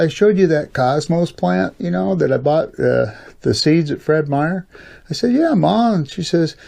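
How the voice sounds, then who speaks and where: A middle-aged American man with a gravelly voice, telling personal stories in a casual, folksy, halting way as a channel host. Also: fairly high pitch, darkish tone. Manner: folksy, informal, halting delivery with frequent "you know". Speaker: YouTube storyteller and channel host. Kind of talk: casual rambling personal storytelling.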